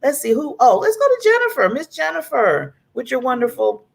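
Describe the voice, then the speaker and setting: A woman's voice: high voice, very animated, brisk, creaky. Enthusiastic talk show host, a woman. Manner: enthusiastic, emphatic, laughs often, colloquial.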